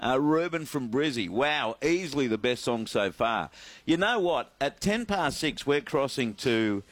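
A man's voice: mid-range voice, animated, measured pace, a bit bright, no room sound. An Australian man hosting a sports radio show, chatting with co-hosts and reading out listener texts. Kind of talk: casual sports radio banter.

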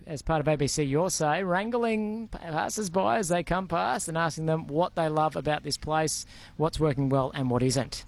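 Male radio presenter, slightly high voice, smooth scripted delivery. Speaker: radio host.

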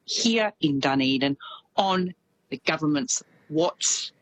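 A woman with an Australian accent, a New Zealand correspondent telling a news story in a lively, animated way. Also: sits low, slow, low-quality recording. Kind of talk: radio correspondent chat. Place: based in Dunedin, on a remote line to the studio.

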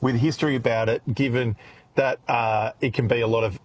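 A man's voice: medium pitch, steady tone, a little fast, slightly rough. An Australian man chatting on radio as a regular guest, talking easily with fillers and restarts. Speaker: rural radio presenter, guest contributor. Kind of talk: casual radio chat.

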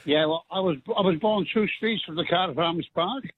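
A man's voice: high voice, fairly quick. An older man from Wales with a Welsh accent, phoning in to a talk radio show and reminiscing in a rambling way. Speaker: caller. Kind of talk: casual phone-in chat. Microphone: telephone. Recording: tinny phone line with faint hiss.

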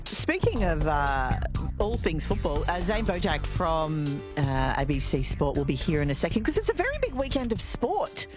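A woman's voice: low voice, big pitch swings, moderate pace, over music. An Australian woman hosting talkback radio, chatty and upbeat as she takes calls and reads out numbers and quiz clues. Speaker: radio host. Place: radio studio. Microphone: studio mic.

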